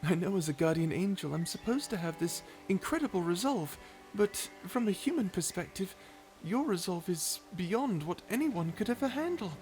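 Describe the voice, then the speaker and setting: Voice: medium pitch, expressive, moderate pace, few pauses, a little mellow, faint music behind, lightly acted. A performer voicing a kind guardian angel in an ASMR roleplay, soothing, earnest and encouraging. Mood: tender. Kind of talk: ASMR roleplay character acting.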